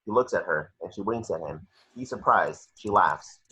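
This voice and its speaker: A man's voice: low voice, normal speed, pushed and bright, husky. A man reading scripted action descriptions as narrator for a table read, on a muffled home recording.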